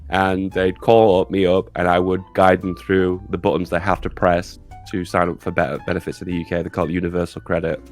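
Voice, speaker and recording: Podcast co-host, man in his thirties, low voice, relaxed self-deprecating chat, faint music under. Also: a little fast, hardly any pauses. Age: 30s.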